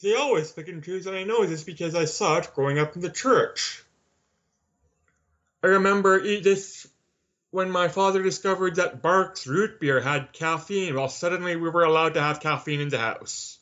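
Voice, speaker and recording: A man's voice: sits high, normal speed, fairly bright tone. A man from Canada speaking as an interview guest in an assertive, opinionated, conversational way. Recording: slightly dull lo-fi audio.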